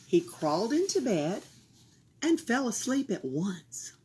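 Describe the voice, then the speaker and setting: A woman reading a children's picture book aloud for a library storytime, expressive and sometimes dropping into a whisper. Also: on the high side, slow pace, slight background noise. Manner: expressive, drops into whispers for suspense.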